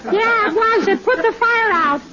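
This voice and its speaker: A woman's voice: extremely high pitch, normal speed, heavy background noise. A woman playing a bratty, mischievous little boy with a childish, cheeky delivery in 1940s radio comedy. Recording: dull 1940s radio broadcast, studio audience.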